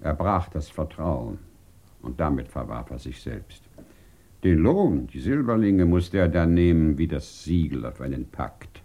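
An actor in a German radio play playing a reflective, solemn man who speaks in measured, philosophical phrases. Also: extremely low pitch, lively intonation, mellow, exaggerated voice. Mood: grave. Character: reflective man holding to trust in a shattered world.

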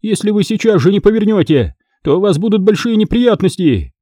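A man's voice: sits high, animated, a little fast, warm tone, no room sound, clean studio sound, pitched above the performer's own voice. A man voicing a frightened, wheedling older woman crook in an audiobook, wailing and flustered as she pleads. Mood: indignant.

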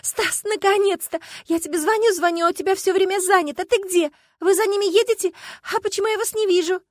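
A frantic, tearful woman blurts out her words between sobs, as a female narrator puts her on. The voice sits extremely high and piercing. It is recorded close and dry, like a plain audiobook read. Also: fairly even intonation, fast, no room sound. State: crying. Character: panicked sobbing woman chasing a car. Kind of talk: audiobook character voice.

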